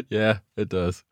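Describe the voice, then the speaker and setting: An Australian man co-hosting a podcast, blunt, swearing and cutting in with quick remarks. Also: fairly low pitch, no room sound. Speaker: podcast co-host. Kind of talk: casual podcast banter.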